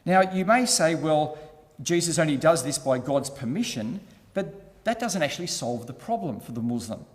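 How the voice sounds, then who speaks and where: A man's voice: a little high, animated, moderate pace, big-room sound. Methodical man arguing a point. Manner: methodical, repeating key points. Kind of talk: explanatory argument.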